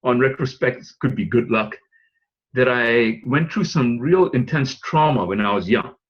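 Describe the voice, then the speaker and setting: A man from Singapore talks through a business pitch in a slightly husky, mid-pitched voice. He wanders, restarts and chuckles as he goes. The recording is dull and lo-fi. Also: normal speed. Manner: rambling with fillers and restarts, chuckling asides. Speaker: presenter. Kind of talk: webinar pitch talk.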